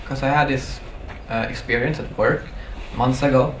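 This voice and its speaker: A man's voice: medium pitch, fairly even intonation, moderate pace. A man from Sweden speaks up from an audience in an echoey room. He talks casually, telling a story as part of his question, a little far from the microphone with faint noise around him. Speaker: audience member asking a question.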